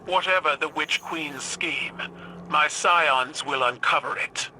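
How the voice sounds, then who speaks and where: An actor playing a proud alien commander in a video game, formal, measured and sure. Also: male voice, on the high side, noisy background. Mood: imperious. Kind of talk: scripted video game dialogue.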